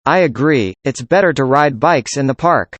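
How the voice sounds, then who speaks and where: A man reading a student's part in a scripted classroom conversation, with an even, measured delivery. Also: mid-range voice, no echo. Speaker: student in a dialogue.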